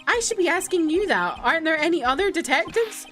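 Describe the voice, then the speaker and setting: Woman reading a put-upon young lawyer guy, dry, high lively voice. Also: fairly quick, soft background music. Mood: exasperated.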